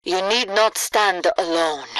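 A woman's voice: fairly low pitch, slow pace, a bit bright, dry recording, low-quality recording. An actress playing a grand, haughty female oracle spirit in a video game, theatrical and portentous. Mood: solemn, urging. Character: grand, haughty mystical oracle, powerful lady water spirit. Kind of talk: scripted video game dialogue.

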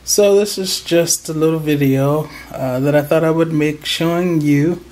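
A slow-talking crochet vlogger hosting a casual show-and-tell from a table indoors. The voice is excited and proud but halting, full of ums and small pauses, with an unhurried pace throughout. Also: mid-range voice. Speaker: crochet channel host.

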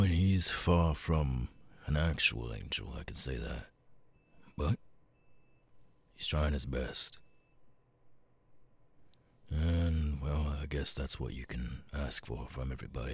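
A man voicing a gruff, world-weary cat demon bartender in an ASMR audio roleplay, raspy and laconic, with casual swearing and long pauses. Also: dry recording, full character voice. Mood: weary, matter-of-fact. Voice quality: raspy, very deep gravelly voice. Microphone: close, dry studio mic.

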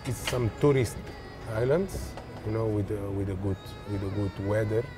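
A young man from Verde, speaking haltingly as an interview guest on an outdoor café terrace. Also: lowish voice, music in the background. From Cape Verde. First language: Cape Verdean Creole. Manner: halting, searching for words. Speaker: footballer guest. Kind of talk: casual interview in non-native English. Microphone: lapel mic.

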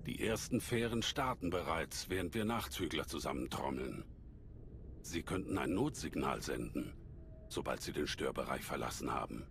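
Calm old alien master, low voice. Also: male voice, gravelly, noisy background, exaggerated voice. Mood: grave, courteous. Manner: measured, formal, thoughtful. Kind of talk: video game cutscene acting.

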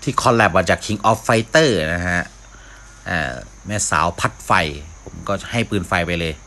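Deep-voiced man doing casual game commentary. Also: expressive, measured pace, pushed and bright. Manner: mouths sound effects, talks to himself while playing. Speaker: gaming video host. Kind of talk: casual live gameplay commentary.

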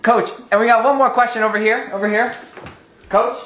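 A man's voice: very high voice, fairly even intonation, brisk, fairly bright tone. A man playing a reporter who eagerly and pushily calls out for the coach's attention. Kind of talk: comic press conference skit. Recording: echoey room.

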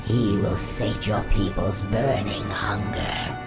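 Scheming serpent sorceress in a video game, slow and portentous, music behind. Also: female voice, rumbling low, steady tone, vocal fry, heavily acted. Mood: enticing. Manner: measured, formal, portentous. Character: female sea-serpent sorceress, devoted, coolly scheming. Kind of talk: scripted fantasy game acting. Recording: music under the voice.

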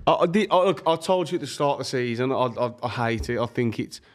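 A man's voice: mid-range voice, animated, quick pace, faint music behind. A young man debating football casually and emphatically on a podcast, speaking close to a studio microphone. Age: young adult. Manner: argumentative, emphatic. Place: small studio, indoors. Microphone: close podcast microphone on table stand.

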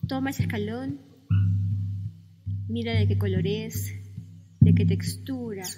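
Slow, high-voiced woman guiding meditation. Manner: calm, soothing, gentle pauses between phrases. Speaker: meditation guide. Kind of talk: guided meditation, slow spoken instructions. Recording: long reverb with music behind.

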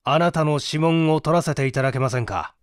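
Man acting a stern, deliberate police detective. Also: a little high, clean tone, dry recording, studio quality, natural voice. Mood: cool, polite. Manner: formal, deliberate, commanding. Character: stern, composed, formal male police detective.

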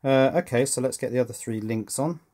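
A man's voice: mid-range voice, a little fast, mellow. A man hosting an electronics build, talking informally through hands-on work and thinking aloud with some hesitation. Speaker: host of an electronics project video. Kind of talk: informal hands-on workshop commentary while building. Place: indoors at a workbench.

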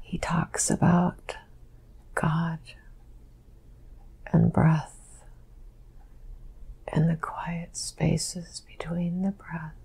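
A woman recalling events in a slow, halting, hushed whisper as she answers questions. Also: on the low side, monotone, gravelly, slightly rough, rough recording. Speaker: session subject answering questions. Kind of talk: slow whispered first-person recollection.

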